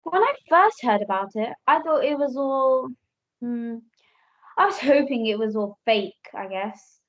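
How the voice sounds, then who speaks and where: A woman's voice: on the high side, expressive, unhurried. A young woman playing a mother who patiently explains and corrects, in an amateur radio play. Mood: explaining. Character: patient, correcting mother telling her child history. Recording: dull lo-fi home recording.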